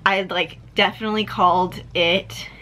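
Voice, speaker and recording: A woman's voice: mid-range voice, fairly even intonation, moderate pace, sharp tone, slight background noise, poor audio. A woman in her twenties giving a casual book review to the camera, chatty and filling her talk with "like", partly reading from notes. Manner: chatty, heavy use of "like", reads from notes. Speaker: book vlogger. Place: indoor room with dark backdrop.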